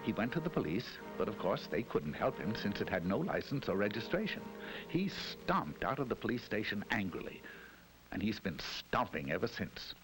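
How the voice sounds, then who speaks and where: A man's voice: lowish voice, sing-song, moderate pace, poor audio. A man giving scripted 1960s educational film narration in a wry, mock-solemn storytelling manner. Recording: narration over loud music.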